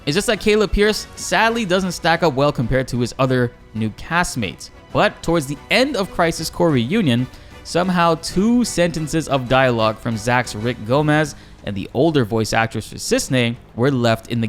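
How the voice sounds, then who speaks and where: Guy doing gaming news, hyped and informal, really animated pitch, bit of music behind him. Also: moderate pace. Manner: informal, enthusiastic. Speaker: gaming YouTuber. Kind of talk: excited gaming news commentary. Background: faint music.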